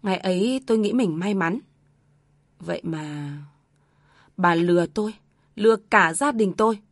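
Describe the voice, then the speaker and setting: A woman voicing an elderly father and former soldier who speaks calmly with heavy sorrow. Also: mid-range voice, no echo. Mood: sorrowful. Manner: calm, heavy, measured.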